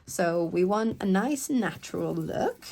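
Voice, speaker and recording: Croaky, chatty woman with a cold. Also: on the low side, expressive, slow pace, darkish tone. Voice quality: slightly croaky, congested. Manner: playful, rambling, self-interrupting asides. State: recovering from a cold, sniffly. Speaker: craft tutorial host. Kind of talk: casual, chatty craft demonstration.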